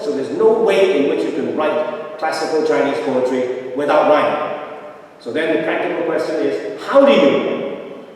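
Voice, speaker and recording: A man's voice: fairly high pitch, darkish tone. A man whose first language is Cantonese, giving an academic talk, hesitant, with many fillers, repeated words and a frequent "right?". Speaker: academic lecturer. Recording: echoey hall, distant mic, faint noise.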